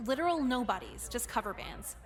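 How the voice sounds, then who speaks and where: A jaded teenage schoolgirl played by a woman from a video game, fairly high in pitch. She is sardonic and depressed, speaking in a weary deadpan. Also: fairly quick, close to an everyday voice. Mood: weary, sarcastic. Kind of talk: visual novel game voice acting.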